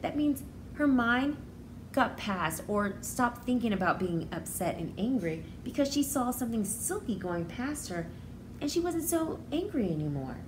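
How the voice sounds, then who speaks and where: Female teacher for a children's read-aloud, lively intonation, explains as she goes, noisy recording. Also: mid-range voice. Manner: expressive, simple explaining to young children. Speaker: preschool educator.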